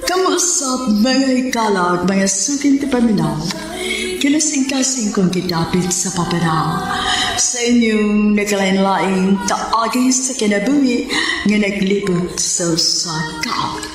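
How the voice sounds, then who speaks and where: A woman hosts a radio drama in a scripted style, with a Cebuano accent. Her mid-pitched voice sounds distant and drenched in echo, with clear music underneath. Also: lively intonation, unhurried. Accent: Cebuano Filipino. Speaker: radio drama narrator and host.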